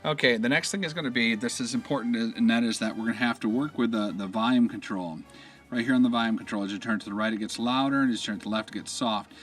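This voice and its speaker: A man giving a casual step-by-step installation tutorial, explaining in a halting way and often trailing off. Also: medium pitch, fairly bright tone. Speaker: product installation instructor.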